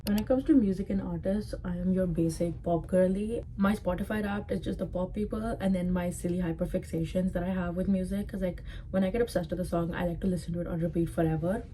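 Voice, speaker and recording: A woman's voice: on the low side, steady tone, fast, few pauses, mellow, a little hiss or hum, low-quality recording. A 21-year-old woman from Dubai with an Indian accent, chatting casually to camera as a vlogger and often filling her speech with "like". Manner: chatty, full of "like" fillers.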